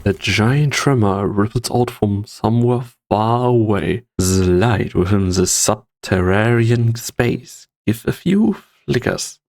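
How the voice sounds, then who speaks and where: A man's voice: fairly low pitch, takes it slowly, creaky, few pauses. A man narrating a scripted story. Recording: loud music behind. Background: loud music.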